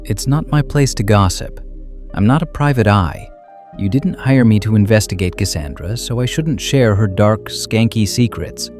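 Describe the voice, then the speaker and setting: An actor playing an AI house manager in a radio drama, coolly literal and slyly meddling, with formal, pedantic speech. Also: male voice, lowish voice, normal speed, darkish tone, soft background music, close to an everyday voice. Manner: formal, pedantic, matter-of-fact.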